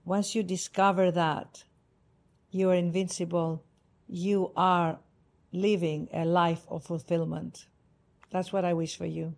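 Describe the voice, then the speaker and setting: A woman from Greece with a Greek accent, a spiritual author speaking in a warm, effusive and emphatic way as a podcast guest. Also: fairly low pitch, little pitch movement, takes it slowly, creaky. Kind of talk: heartfelt interview talk. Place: Cartagena, Colombia.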